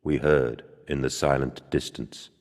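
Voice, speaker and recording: A male actor playing a tormented, guilt-ridden father in a video game, gravelly and husky, with a heavy, mournful, deliberate delivery. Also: a bass voice, steady tone, darkish tone. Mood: somber. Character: guilt-ridden grieving father, tormented creator, grimly resolute. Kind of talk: dramatic video game acting. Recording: long hall reverb.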